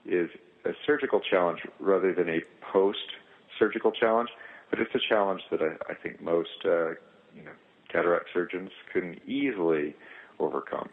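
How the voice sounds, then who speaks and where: Man, very low voice, halting phrasing, surgeon explaining clinical work, band-limited telephone sound. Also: creaky, phone-quality sound. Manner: halting, hesitant phrasing with pauses. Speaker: eye surgeon, guest speaker on a conference call. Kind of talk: explaining clinical experience on a conference call. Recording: thin band-limited telephone conference line.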